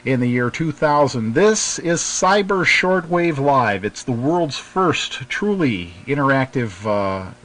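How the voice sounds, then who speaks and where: A man's voice: medium pitch, expressive, slow pace, few pauses, fairly bright tone. Male netcast host, middle-range voice, loose and hesitant, chatting casually on live radio.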